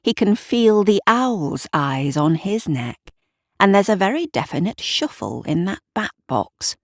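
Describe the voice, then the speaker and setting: A woman narrating a scripted audio drama in a measured, deliberate, atmospheric storytelling manner. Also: medium pitch, big pitch swings, no room sound, clean studio sound. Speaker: writer and narrator.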